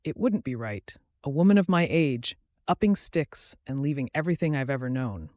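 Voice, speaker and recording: A man's voice: mid-range voice, lively intonation, moderate pace, a little mellow, dry recording, clean studio sound, natural voice. A man voicing a kindly, bashful older woman, a folksy frontier cook in an audiobook. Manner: folksy, dropped g's, homely endearments.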